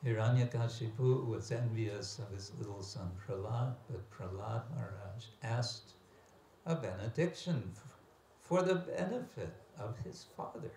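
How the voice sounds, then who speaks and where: A man delivers a religious lecture at a slow, measured pace. He reads scripture and comments on it with emphatic phrasing and pauses, putting questions to his listeners. The room is echoey, with faint noise behind him. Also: fairly low pitch, animated, gravelly, a little mellow. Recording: echoey room with background hiss and ambience.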